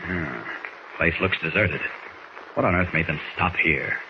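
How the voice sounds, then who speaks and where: Noisy vintage radio, brisk hero, deep, commanding, impatient. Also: male voice. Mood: determined. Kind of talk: 1940s radio acting. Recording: noisy 1940s radio recording.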